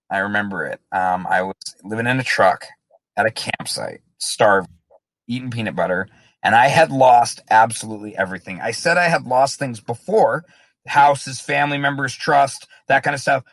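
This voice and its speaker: A man's voice: mid-range voice, a bit bright, no echo. A man as an interview guest, telling his own story in a candid, reflective, plain-spoken way. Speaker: guest in recovery.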